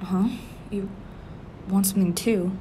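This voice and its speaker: A man's voice: steady tone, measured pace. A man playing a prickly, flustered office worker who hides a crush, stammering and grumbling defensively in a tsundere roleplay addressed to the listener. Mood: irritated, impatient. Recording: faint rumbling office and city background noise.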